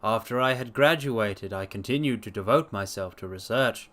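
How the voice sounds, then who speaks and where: Storybook role: earnest young doctor, formal, precise, low-pitched, modest man performing. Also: moderate pace, a bit bright, close to an everyday voice. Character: earnest, modest young male Victorian doctor telling his history.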